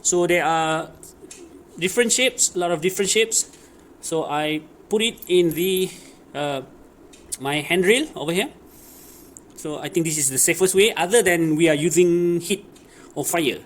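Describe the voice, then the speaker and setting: A man with a slightly high voice talks through a casual DIY tutorial. His phrasing is improvised and hesitant, with pauses as he looks for words. There is some background noise around him. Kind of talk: casual hands-on how-to narration. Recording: clear background noise, ambient sound.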